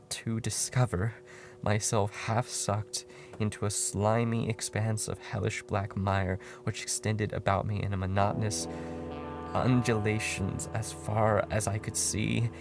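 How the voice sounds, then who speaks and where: A man giving a dramatic horror story narration in a brooding, halting way with pauses. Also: lowish voice. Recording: music under the voice. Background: music.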